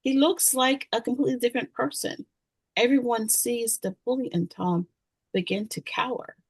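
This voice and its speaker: A woman's voice: fairly high pitch, steady tone, moderate pace, husky, no room sound. A woman narrator reading scripted screenplay directions at a table read.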